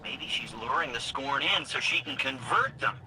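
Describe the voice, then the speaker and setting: An actor playing an eager male investigator who is excited about a new lead, talking in a quick, offhand way. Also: medium pitch, noisy background, natural voice. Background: music.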